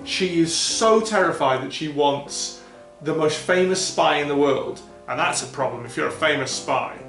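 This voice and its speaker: Man co-host, slightly higher voice, casual swearing, laughs a lot, echoey room, faint guitar behind. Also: moderate pace, creaky, fairly bright tone. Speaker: podcast co-host. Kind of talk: casual film discussion.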